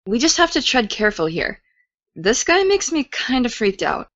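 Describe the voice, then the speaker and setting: A woman's voice: fairly high pitch, big pitch swings, moderate pace, a bit bright, no room sound, lo-fi sound. A woman playing a cautious, caring teenage podcaster in an audio drama, conversational and a little fretful. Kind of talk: naturalistic audio drama dialogue.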